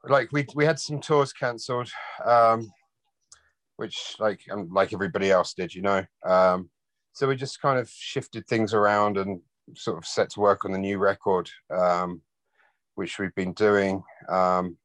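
A man's voice: on the low side, measured pace, a bit bright, dry recording. A laid-back man from London chatting casually as an interview guest. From London, United Kingdom. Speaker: interview guest, rock bassist. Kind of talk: casual chat.

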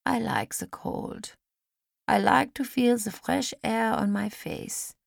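Woman acting a slow-speaking frail old lady. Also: a little high, creaky, no echo, clean studio sound, voice pushed up, exaggerated voice. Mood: polite, gentle. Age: older. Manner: slow, deliberate, polite. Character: frail old woman, gentle, wistful, mannerly. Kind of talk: audiobook character acting.

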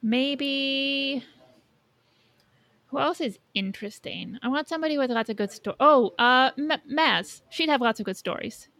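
A woman's voice: high voice, a little slow, fairly bright tone. A woman in casual podcast conversation, informally thinking aloud as a co-host. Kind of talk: casual fan podcast chat.

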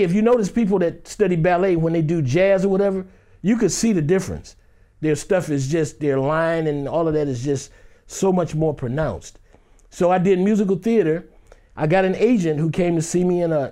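A man's voice: fairly high pitch, moderate pace, warm tone. A man being interviewed, telling a story in a loose, conversational way and acting out the other people in it. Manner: loose conversational delivery with many "you know" fillers. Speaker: interview guest. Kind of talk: animated anecdotal storytelling.

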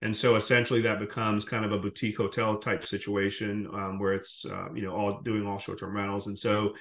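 Man, from the Caribbean, lowish pitch, echoey room, interview guest, detailed explanations, conversational. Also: little pitch movement, fairly quick, fairly bright tone. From Caribbean, born in Guyana and raised in Jamaica. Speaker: podcast guest, short-term rental investor. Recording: roomy, echoing sound.

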